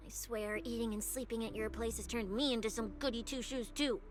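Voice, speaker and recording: A woman's voice: a little high, steady tone, brisk, close to an everyday voice. A woman voicing a cocky, teasing young snake-woman case specialist who talks in a playful, bossy way, in a game dub. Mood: scheming.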